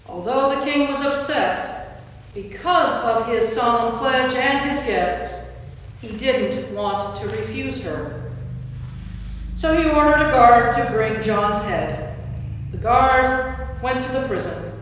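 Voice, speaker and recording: A woman's voice: fairly high pitch, slow pace, pauses often, slight background noise. A woman giving a scripted dramatic narration of scripture as part of a staged reading. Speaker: narrator, priest. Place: large indoor church. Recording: echoey church hall from far off.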